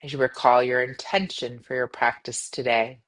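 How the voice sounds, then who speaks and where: Woman meditation guide: gentle, soothing delivery, measured phrasing, long pauses between instructions. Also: very deep voice, little pitch movement. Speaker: yoga nidra meditation guide.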